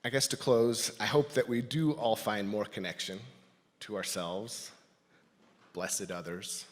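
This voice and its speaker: A man's voice: fairly low pitch, fairly even intonation, measured pace. Thoughtful man speaking in a reverberant hall. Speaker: lay speaker giving a church reflection. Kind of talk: personal reflective talk to a congregation. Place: large indoor church space.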